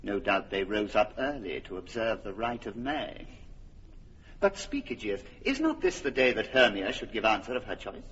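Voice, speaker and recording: A stately, decisive duke who speaks with a lively, rising and falling authority. The recording is muffled and reverberant, like old broadcast radio. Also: male voice, fairly low pitch, moderate pace. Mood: courtly.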